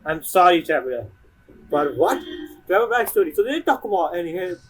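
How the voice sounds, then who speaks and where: Fast-talking, very animated game streamer. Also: mid-range voice, distant mic, a little hiss or hum, rough recording. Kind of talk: casual reactions while playing a game.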